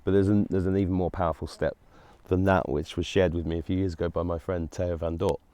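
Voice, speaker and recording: A man's voice: low-pitched, little pitch movement, fast talker, warm tone, no room sound. A middle-aged man hosting his own show, talking earnestly and rambling, with many restarts. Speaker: host. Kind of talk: solo monologue to camera.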